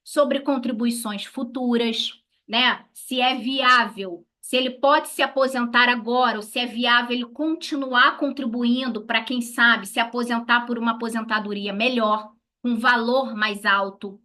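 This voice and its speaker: Bright-voiced Brazilian woman teaching. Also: a little high, fairly even intonation, unhurried, hardly any pauses. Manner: didactic, asking and answering own questions. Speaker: lawyer teaching a class. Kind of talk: instructional talk to colleagues.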